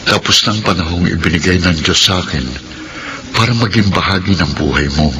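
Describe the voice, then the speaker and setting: An actor playing a dead husband who appears in a dream in a radio drama, calm and reassuring. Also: male voice, deep voice, a little fast. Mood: gentle. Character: dead young husband in a dream, gentle and loving. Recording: hissy radio drama recording.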